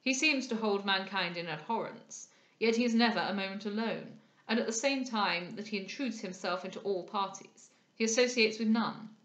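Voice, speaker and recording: Woman reading as an eloquent society gentleman, echoey. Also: mid-range voice, moderate pace, few pauses, muffled recording, close to an everyday voice. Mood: critical. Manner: formal, eloquent, judging. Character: articulate, disapproving society gentleman of a period novel. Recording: echoey room.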